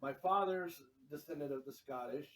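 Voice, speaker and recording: A man's voice: fairly high pitch, normal speed. A man co-hosting a casual group chat, hedging and restarting often. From United States. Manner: animated, hedging, many restarts. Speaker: co-host. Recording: roomy, distant mic.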